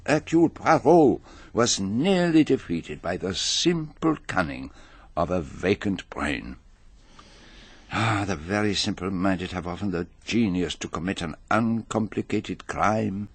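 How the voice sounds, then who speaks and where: An actor playing a fastidious, self-assured detective in a British radio drama, speaking with precise, deliberate, theatrical phrasing. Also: male voice, low-pitched, big pitch swings, slightly rough, dry recording, heavily acted. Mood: musing. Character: fastidious, self-assured male foreign detective, courteous and methodical.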